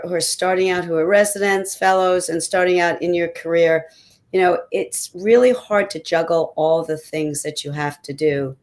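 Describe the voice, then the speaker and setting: A woman in her sixties, a doctor on a panel, talking in an energetic, emphatic way. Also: lowish voice. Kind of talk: panel discussion answer.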